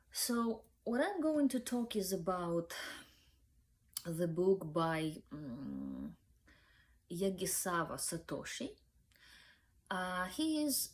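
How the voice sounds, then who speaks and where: A woman's voice: mid-range voice, darkish tone. A young woman with a Ukrainian accent hosting a book review channel, speaking hesitantly with many fillers. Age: young adult.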